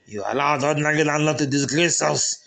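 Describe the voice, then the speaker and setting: A man's voice: on the high side, fairly quick, creaky, a bit bright. Enraged cult master, commanding and scolding, storybook villain, voiced by a male narrator. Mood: furious. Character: furious male occult leader scolding his follower.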